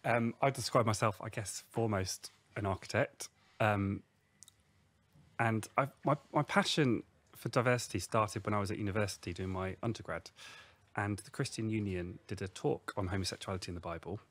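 A man's voice: fairly low pitch, moderate pace. A man in his thirties, an architect, earnestly telling how his work on inclusion began, at a studio mic. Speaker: architect, guest. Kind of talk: panel interview answer. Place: studio with bookshelves. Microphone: studio mic, headphones.

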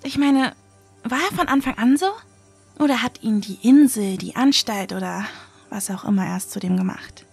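A woman plays a wary, easily indignant young woman in a horror audio drama. Her voice is fairly high and breathy, with a heavy creak. It is recorded dry, with music underneath. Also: normal speed. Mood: agitated, indignant. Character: wary, indignant young female survival-show contestant.

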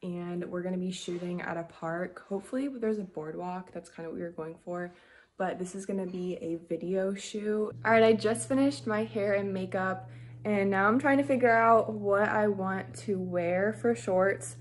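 A woman's voice: mid-range voice, steady tone, moderate pace, slight background noise. A woman in her twenties talking casually and chattily in a personal weekend vlog, filmed at arm's length. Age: 20s. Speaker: vlogger and model. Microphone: camera at arm's length.

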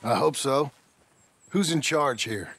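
An actor playing a plain-spoken, weary middle-aged cowboy in a western video game, with a laconic, earnest rural American drawl. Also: male voice, medium pitch, animated, slow, a bit bright, breathy edge, full character voice. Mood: firm, reluctant.

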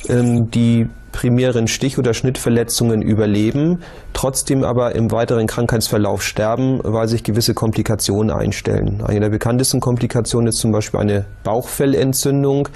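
Male medical expert, measured interview explanation, mid-pitched with some vocal fry. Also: steady tone, darkish tone.

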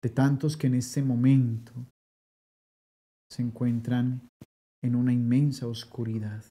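A man in his thirties, a priest leading Mass and adoration prayers slowly and solemnly in a small chapel. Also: mid-range voice, little pitch movement, dark tone. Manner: slow, solemn liturgical delivery.

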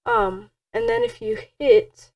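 A woman presenting a casual software tutorial in a halting, hesitant way. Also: very high-pitched, sing-song, vocal fry.

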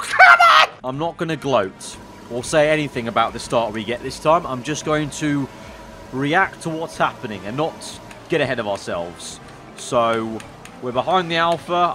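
A young man commentating a racing game, excitable and slightly hoarse, breaking into shouts at crashes. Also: fairly high pitch. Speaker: gaming YouTuber.

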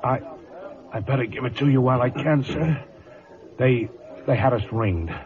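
An actor playing a badly wounded, dutiful cavalry corporal who struggles haltingly to report, in old radio drama style. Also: male voice, medium pitch, lightly acted. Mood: pained, urgent. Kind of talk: 1950s radio acting. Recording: distant tinny old radio, voices behind.

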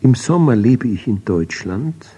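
A man's voice: mid-range voice, animated, measured pace, mellow, breathy edge, pitched above the performer's own voice. A man voicing a small, weary, lost bird with a thin, piping voice in a storybook reading. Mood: tired, worried. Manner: weary, plaintive.

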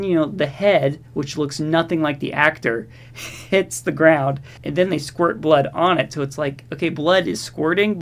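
A man with a voice slightly on the high side talks through a movie review very casually. He rambles in loose, slangy phrases and keeps a wry, sarcastic edge, as a host chatting to viewers.

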